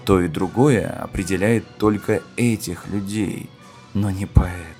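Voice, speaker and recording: A man's voice: low-pitched, unhurried, darkish tone. A man hosting a podcast, reciting a historical speech in a solemn, declamatory and oratorical manner. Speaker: podcast host reading famous speeches. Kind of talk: dramatic recitation of a historical speech with spoken intro. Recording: music under the voice. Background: music.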